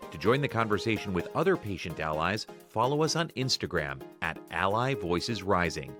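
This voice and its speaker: A man reading a polished advertisement, his low voice rising and falling with a lot of movement. A clear music bed plays underneath him as he speaks. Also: big pitch swings, moderate pace. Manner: polished, smooth delivery. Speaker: ad announcer. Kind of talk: scripted ad read. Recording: studio read over music.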